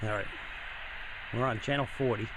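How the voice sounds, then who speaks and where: Guy doing a casual hobby demo on camera, thinks out loud, normal pitch, bit of noise behind him. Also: fairly even intonation, moderate pace. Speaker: host of a hobby radio video. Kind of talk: casual hands-on demonstration to camera.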